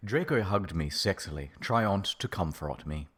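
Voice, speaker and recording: Deep-voiced man reading aloud dramatically. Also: expressive, normal speed, a bit bright. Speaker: reaction video host.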